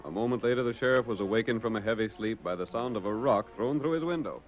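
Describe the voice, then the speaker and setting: A man's voice: on the low side, fast, loud music behind the voice. A man reading scripted narration for an old-time radio drama. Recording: dull lo-fi old radio recording.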